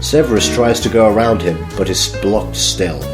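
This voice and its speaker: A man's voice: lowish voice, normal speed, over music. A man reading scripted narration as the narrator of an amateur audio drama. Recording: roomy, echoing home recording.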